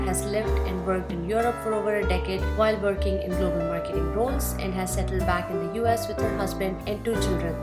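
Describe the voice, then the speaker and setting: Woman podcast host in an echoey room. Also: medium pitch. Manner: measured, reads intro then asks long questions. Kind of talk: interview hosting.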